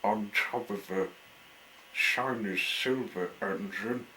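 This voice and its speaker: Male children's storyteller, unhurried deliberate phrasing, crisp bright tone, faint noise in the background. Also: lowish voice, low-quality recording. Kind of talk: reading a children's story aloud.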